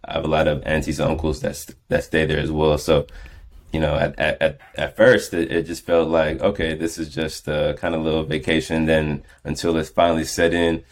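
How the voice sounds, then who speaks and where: A man's voice: a bass voice, flat delivery, a little fast. A man in his twenties with an American accent, a podcast guest talking casually with many fillers and restarts. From born in England, raised in the United States. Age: 20s. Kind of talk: casual conversation.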